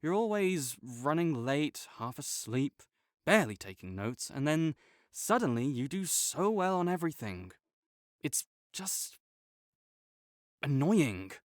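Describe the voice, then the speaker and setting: A man's voice: a little high, big pitch swings, slow, no room sound, studio quality. A young man voicing a competitive male student rival who teases and bosses, then turns flustered and defensive. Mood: teasing. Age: young adult. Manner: teasing and bossy, gets flustered and defensive. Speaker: voice actor in an audio roleplay. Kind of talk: audio roleplay acting.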